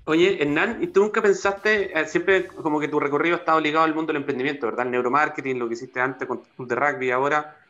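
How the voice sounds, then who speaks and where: A man's voice: fairly high pitch, fairly even intonation, fast, hardly any pauses, a bit bright. A young man hosting an interview, asking friendly, loose questions over a video call. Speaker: interview host. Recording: dull lo-fi call audio, faint music.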